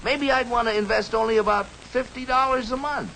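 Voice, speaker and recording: A man's voice: very high-pitched, fairly even intonation, fairly quick, sharp tone, a little hiss or hum, full character voice, poor audio. An actor voicing a hesitant, cautious American family man with a mild, questioning manner in a 1950s educational cartoon. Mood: curious, unsure. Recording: 1950s film soundtrack with music. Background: music.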